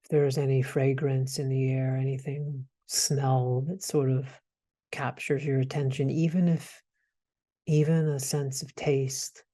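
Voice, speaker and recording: Slow, mellow man guiding meditation. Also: mid-range voice, flat delivery. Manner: gentle, hesitant phrasing with soft pauses. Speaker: meditation guide. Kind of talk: guided meditation.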